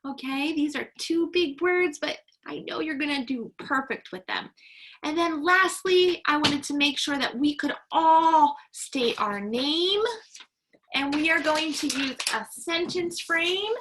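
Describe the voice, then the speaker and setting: A woman teaching young children in a read-aloud lesson, encouraging them with sing-song, drawn-out key words and simple instructions. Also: sits high, background noise. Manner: encouraging, praising young learners.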